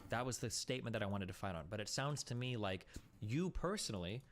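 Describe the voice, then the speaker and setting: Quick-talking, probing man debater. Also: on the low side, background noise. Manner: probing, calmly questioning. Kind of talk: heated online debate.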